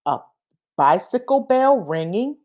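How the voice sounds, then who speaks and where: A woman reading a children's picture book aloud in a deliberate, expressive manner. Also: mid-range voice. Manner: deliberate, expressive, acts out sound effects. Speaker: read-aloud reader.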